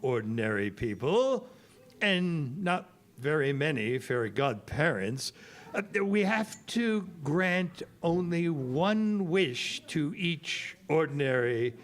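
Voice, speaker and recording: A fussy, kindly fairy godparent, acted by a man for children's audio theater. The voice sits fairly high and moves very slowly, with theatrical, hesitant explanations meant for young listeners. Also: big pitch swings. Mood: kindly explaining.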